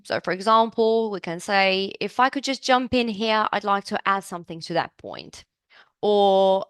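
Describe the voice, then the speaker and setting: A woman's voice: medium pitch, fairly bright tone, dry recording. Upbeat woman teaching. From Serbia. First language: Serbian. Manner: upbeat, chatty, asks the class questions. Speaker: business English teacher. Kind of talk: live online class.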